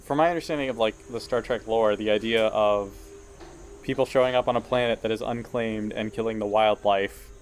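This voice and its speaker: A man's voice: lowish voice, fairly even intonation, fairly quick, a little hiss or hum. A man playing a tabletop roleplaying game, chatting casually with the other players. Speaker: player in an actual-play show.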